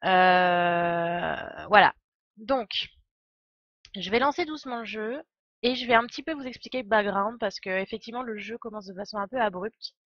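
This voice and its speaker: A woman's voice: mid-range voice, normal speed, no room sound, lo-fi sound. A woman in her twenties with a stuffy cold, chatting casually and a little hesitantly as she hosts a live game stream. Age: 20s. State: congested with a cold.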